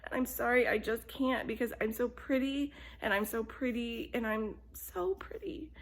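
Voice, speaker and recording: A woman's voice: a little high, moderate pace, pitched above the performer's own voice. A woman voicing a vain, self-absorbed cat in a children's bedtime story, with a preening, sighing delivery. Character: vain, self-absorbed, selfie-obsessed female barnyard cat.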